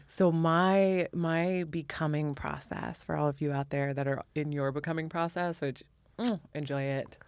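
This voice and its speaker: Expressive American woman, dry close sound. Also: sits low, normal speed, clear, resonant tone, dry recording. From Indiana, US Midwest. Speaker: podcast guest, spiritual teacher. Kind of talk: reflective conversational interview.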